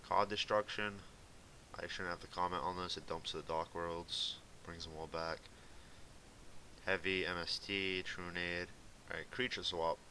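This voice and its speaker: A man's voice: low voice, flat delivery, a little slow, pushed and bright, poor audio. A young man from Massachusetts with a light Boston accent, rambling casually through commentary on a card game deck with lots of fillers. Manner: rambling, filler-heavy, laid-back. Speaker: gaming video commentator. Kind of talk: casual commentary over gameplay. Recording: faint hissy home recording.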